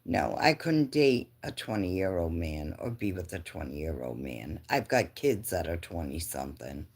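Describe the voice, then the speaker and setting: A woman's voice: extremely low pitch, big pitch swings, measured pace, a little mellow, breathy edge. A woman in her fifties chatting casually with live viewers, laughing often and answering comments. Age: 50s. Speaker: livestream host.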